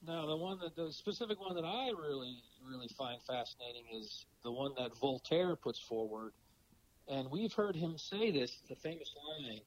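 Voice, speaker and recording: A middle-aged man with a cold and a raspy voice, talking as a podcast guest in a halting way with many fillers. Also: mid-range voice, animated, slight background noise, rough recording. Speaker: podcast guest, philosophy professor. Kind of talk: casual interview talk.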